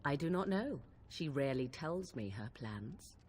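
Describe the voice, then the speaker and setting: A woman's voice: rumbling low, very animated, a little slow, a little mellow, full character voice. An actress playing a young witch of the wilds in a video game, aloof and dry-witted, with archly formal, old-fashioned phrasing. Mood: cool, matter-of-fact. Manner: archaic, arch, coolly sardonic phrasing. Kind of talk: video game cutscene acting.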